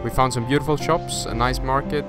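A young man narrating his sailing trip and walking a forest trail with a camera at arm's length, relaxed and easygoing. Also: mid-range voice, brisk, over loud music. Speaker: sailing vlogger. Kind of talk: casual travel narration. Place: outdoors on a tropical island, forest trail. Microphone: handheld action camera at arm's length.

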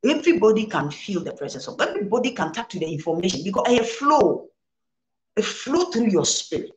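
A Ghanaian woman reverend speaks with earnest conviction, moving between English and Twi. Her pitch rises and falls in lively swings as she repeats phrases for weight. The studio recording carries a noticeable roomy echo. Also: fairly quick. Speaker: reverend guest. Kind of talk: preaching interview answers. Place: studio set, indoors.

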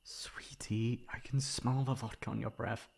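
A young man playing a caring, mildly exasperated boyfriend in an ASMR roleplay, coaxing and teasing with breathy sighs. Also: mid-range voice, level tone, measured pace. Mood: concerned, coaxing.

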